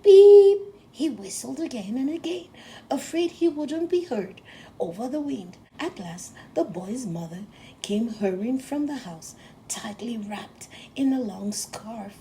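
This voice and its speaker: A woman reads a storybook for children with gentle expression. Her voice is fairly high yet mellow, and she goes deliberately slowly through each phrase. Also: slight background noise. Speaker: storybook reader. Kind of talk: children's read-aloud narration.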